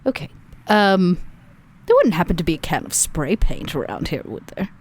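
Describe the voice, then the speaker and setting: A woman with a slightly low voice plays a tabletop roleplaying game. She casually and thoughtfully describes what her character does. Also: sing-song, normal speed, darkish tone.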